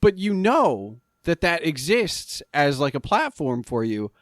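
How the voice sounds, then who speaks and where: Man hosting a podcast, rambling, halting, fairly high voice, dry sound. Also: very animated, dry recording. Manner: rambling, halting, many restarts and fillers. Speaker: podcast host. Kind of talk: casual interview conversation.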